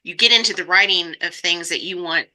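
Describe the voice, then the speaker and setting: A woman's voice: fairly low pitch, fairly quick, bright, forceful tone, rough recording. A woman hosting a book club podcast, interviewing in an upbeat, conversational way.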